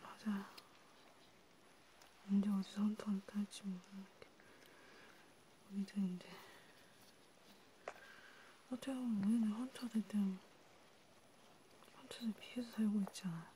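A woman voicing a cautious vampire healer in a hushed, intimate, concerned whisper for an ASMR role play. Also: mid-range voice, steady tone, measured pace, long pauses, a little hiss or hum, close to an everyday voice, low-quality recording. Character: cautious female vampire healer tending a hunted friend.

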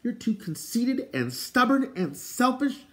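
An outspoken storybook girl, played by a man. The voice is pitched well up and very animated. She scolds bluntly, full of exasperation. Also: unhurried, full character voice. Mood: indignant. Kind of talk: audiobook character voice.

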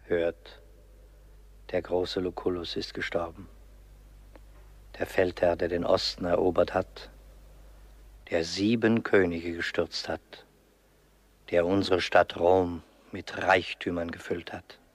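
An actor playing a grave funeral herald in a radio play, speaking in a solemn, declamatory way. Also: male voice, deep voice, level tone, heavily acted. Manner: measured, declamatory. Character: grave male herald announcing a general's funeral.

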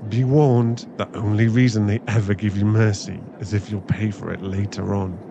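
A man's voice: lowish voice, fairly even intonation, normal speed, vocal fry. Man playing a resigned, grumbling guy, hesitant, audio drama, dull sound, plane noise behind. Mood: nervous, resigned. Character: nervous, grumbling male friend, resigned to being bossed around. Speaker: voice actor in an audio roleplay. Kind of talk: ASMR boyfriend audio drama. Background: vehicle and cabin ambience.

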